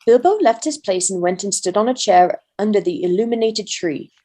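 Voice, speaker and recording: A woman with a medium-pitched voice narrates a fantasy tale. She reads aloud in a measured way, as a volunteer storyteller would. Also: animated, dark tone. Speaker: volunteer reader.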